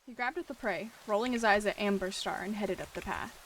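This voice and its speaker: A woman's voice: medium pitch, fairly quick, fairly bright tone, slight background noise, rough recording. Girl narrating a cat rp, laid-back, lots of ums. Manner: casual with fillers in the outro. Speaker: roleplay host and narrator. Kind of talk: story narration of a roleplay.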